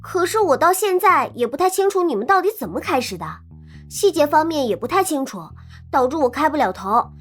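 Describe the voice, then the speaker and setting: A lively young student played by a woman with a high, swooping voice. She teases and wheedles like a gossip-hungry roommate in an audio drama, over soft music. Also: a little fast. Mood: eager, pleading. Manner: teasing, animated, wheedling. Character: lively, teasing young female college student, romance-obsessed gossip. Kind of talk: Chinese audio drama acting.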